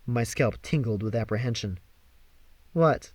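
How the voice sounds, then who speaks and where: A man narrating a story from a script in an expressive, theatrical way. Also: on the high side, normal speed, no echo. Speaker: amateur audiobook reader.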